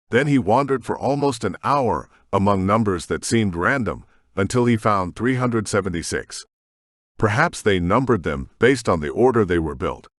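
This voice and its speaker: Brief: male author-narrator, lowish animated voice, dry close studio sound. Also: no room sound. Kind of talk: scripted audiobook narration.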